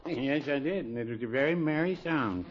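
A man's voice: medium pitch, moderate pace, natural voice. A man playing a mild, hesitant older small-town druggist with dry, folksy understatement in a 1950s radio sitcom. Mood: mild, pleasant. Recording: muffled, noisy old radio recording.

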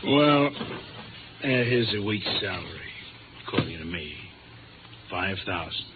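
A man playing a smug, menacing crime boss in a 1950s radio drama, polite and measured with veiled threats. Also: low-pitched, expressive. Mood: smug, cool. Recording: noisy, narrow-band old radio broadcast.

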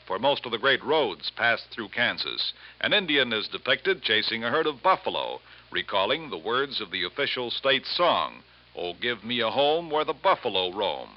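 Expressive male vintage radio announcer. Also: medium pitch. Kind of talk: formal scripted narration. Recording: muffled vintage radio recording.

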